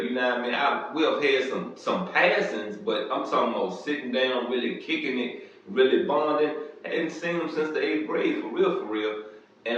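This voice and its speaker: A man's voice: mid-range voice, fairly bright tone, a little hiss or hum. Man, conversational commentary, keeps checking in with listener, very echoey room, muffled distant mic. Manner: loose, conversational, repeated check-ins with the listener. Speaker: reader and commentator. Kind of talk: reading a novel aloud with personal commentary.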